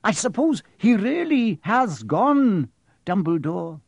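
A stern, prim older witch professor from a storybook, acted out by a male reader. The voice sits high and swings sharply in pitch, with an impatient, indignant edge. The recording is dry and close. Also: big pitch swings, slow, dry recording, exaggerated voice. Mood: irritable. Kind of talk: audiobook character voice.

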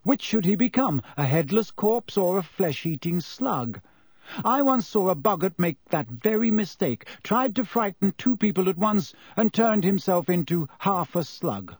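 A calm, kindly middle-aged professor, as a male audiobook reader puts him on, guides his class in a patient, encouraging way. The voice sits a little high and moves a lot in pitch. The recording is dry and close. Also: measured pace, no echo, heavily acted. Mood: patient teaching. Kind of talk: audiobook character voice.

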